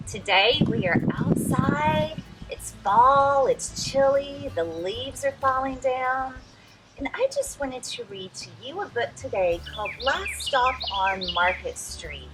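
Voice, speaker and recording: A woman reading a picture book aloud outdoors to young children, expressive and addressing them directly as friends. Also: high voice, slow. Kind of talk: animated read-aloud storytelling for young children. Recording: some background noise, outdoor ambience.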